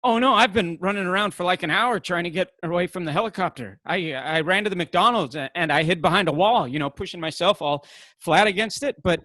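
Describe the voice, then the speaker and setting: A man playing an impulsive, bumbling activist in a comedy on a video call, rambling and stammering excitably. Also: high voice, a little fast, no room sound. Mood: nervous. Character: bumbling, impulsive, sheepishly defiant young male activist. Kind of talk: comedic stage acting over video call. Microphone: video call microphone.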